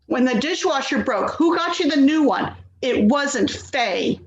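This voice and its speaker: A woman's voice: high voice, expressive, measured pace. Woman playing a harried daughter, muffled echoey audio. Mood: exasperated. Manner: hesitant, pleading, weary. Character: harried, placating adult daughter of an elderly mother. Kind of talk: readers theater stage acting. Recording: dull, echoey, clearly noisy audio.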